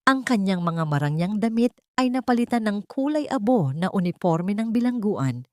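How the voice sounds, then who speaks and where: A woman reading a scripted dramatic story as an audiobook narrator, in a measured, solemn storytelling manner. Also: medium pitch, sing-song, dry recording, studio quality.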